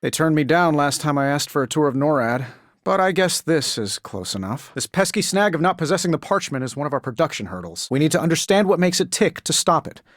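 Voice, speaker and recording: A man's voice: on the high side, expressive, a little fast, a bit bright, no room sound, clean studio sound. A male actor playing a cocky billionaire inventor superhero in powered armor for a video game, glib, sarcastic and self-assured. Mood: dry, sardonic.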